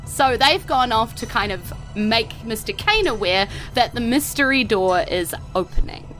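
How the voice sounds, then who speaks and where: An excitable, playful woman with a New Zealand accent, hosting and narrating a tabletop game podcast in a theatrical, chatty way. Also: medium pitch. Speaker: podcast host and game master.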